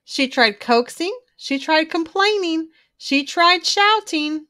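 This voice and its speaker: A woman reading a children's storybook aloud in an expressive, emphatic way, drawing out key words. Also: very high-pitched, slow. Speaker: children's book reader.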